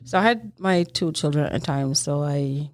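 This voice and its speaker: A middle-aged woman with a Jamaican accent and a deep voice talks as a podcast guest. She tells personal stories conversationally, recorded dry and close. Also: expressive, moderate pace, dry recording.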